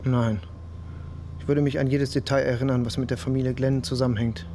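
A man's voice: medium pitch, little pitch movement, a little fast, mellow, a little hiss or hum, natural voice. A man playing a subdued but insistent police detective in a radio crime drama. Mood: subdued, firm.

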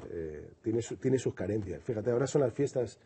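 A singer-songwriter from Madrid with a Madrid Spanish accent, talking reflectively in an interview and often restarting and repeating words. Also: male voice, fairly low pitch, lively intonation, moderate pace, dark tone, slight background noise. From Madrid, Spain. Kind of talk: relaxed interview conversation.

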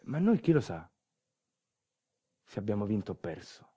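A man's voice: on the low side, big pitch swings, moderate pace, warm tone, slightly rough. An actor playing an earthquake survivor in a theatrical monologue, telling his town's bitter, nostalgic story in incantatory lists. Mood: wistful irony.

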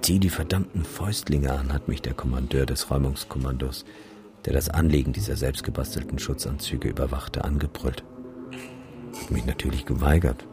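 An actor playing a cynical, bleak photographer and disaster witness in a radio drama, sardonic and matter-of-fact. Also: male voice, rumbling low, brisk. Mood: grim, matter-of-fact. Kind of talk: radio drama monologue and dialogue.

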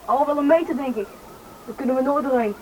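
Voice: sits high, quick pace, lightly acted. An actor playing a frightened young boy lost in a snowstorm, in a Dutch radio drama. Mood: weary, worried. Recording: noisy radio play with storm ambience.

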